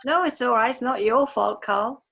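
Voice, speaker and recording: A woman taking part in an online class, with a croaky voice and short friendly replies. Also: on the high side, normal speed, a bit bright, faint music behind. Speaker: class participant. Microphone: video call.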